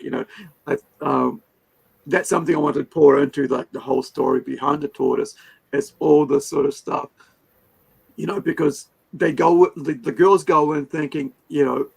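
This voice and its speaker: Gravelly-voiced man, rambling host. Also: on the high side, darkish tone, low-quality recording. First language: Hindi. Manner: rambling, many fillers and restarts. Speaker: podcast host. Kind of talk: casual interview chat.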